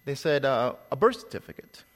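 Man, slight Salvadoran accent, sermon, storytelling pauses, long hall reverb. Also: medium pitch, very animated. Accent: slight Salvadoran Spanish. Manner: conversational storytelling, with pauses and repeated words. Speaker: preacher.

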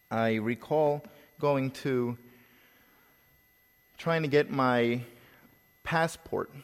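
Man, slight Salvadoran accent, sermon, storytelling pauses, long hall reverb. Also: medium pitch. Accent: slight Salvadoran Spanish. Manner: conversational storytelling, with pauses and repeated words. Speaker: preacher.

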